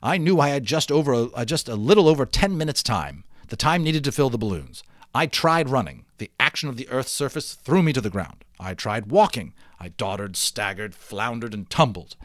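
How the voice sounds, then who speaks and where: Expressive man reading a storybook aloud. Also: mid-range voice, normal speed, no room sound, studio quality. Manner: expressive, emphatic, with hushed drops. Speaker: read-aloud narrator of children's novels. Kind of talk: dramatic storybook read-aloud with explanatory asides.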